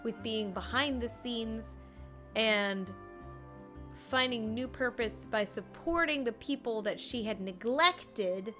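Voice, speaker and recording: A woman giving casual, expressive and playful reaction commentary as she streams a game. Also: a little high, takes it slowly, faint music behind.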